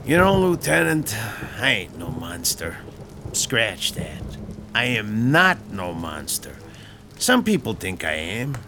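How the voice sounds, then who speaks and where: Boastful Brooklyn mob boss. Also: male voice, fairly low pitch, sing-song, takes it slowly, background noise, full character voice. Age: 30s. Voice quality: rough tough-guy diction, corrects his own grammar. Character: vain self-taught male mob boss, thirty, touchy. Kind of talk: radio drama acting. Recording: radio drama with music behind.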